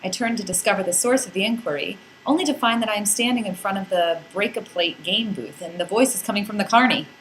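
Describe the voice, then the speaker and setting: A woman's voice: mid-range voice, fast talker, hardly any pauses, a bit bright, a little hiss or hum, low-quality recording. A woman narrating a scripted first-person comic story in a playful, wry, theatrical way with dramatic emphasis. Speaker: podcast narrator reading a blog.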